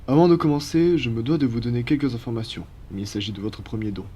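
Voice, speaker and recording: A man's voice: medium pitch, fast, mellow, a little hiss or hum, close to an everyday voice. A man voicing a courteous, apologetic vampire in an ASMR roleplay, speaking formally and politely. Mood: reassuring, formal. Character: courteous, apologetic male vampire from a blood-donation foundation.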